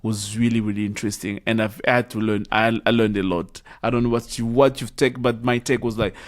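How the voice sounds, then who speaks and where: Man hosting a reflective chat, halting. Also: fairly low pitch, fairly even intonation. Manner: halting, searches for words with many restarts. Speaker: host. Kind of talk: reflective conversation.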